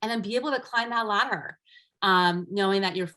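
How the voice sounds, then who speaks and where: A woman's voice: mid-range voice, brisk, pushed and bright. A middle-aged woman, a guest coach whose first language is Armenian, telling her career story in an earnest, reflective way in a casual interview. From United States, raised by immigrant parents.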